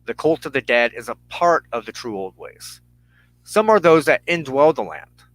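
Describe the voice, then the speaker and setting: A man hosting a religious broadcast, giving a solemn, measured sermon of personal testimony and teaching with emphatic phrasing and deliberate pauses. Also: mid-range voice, lively intonation, a bit bright, poor audio.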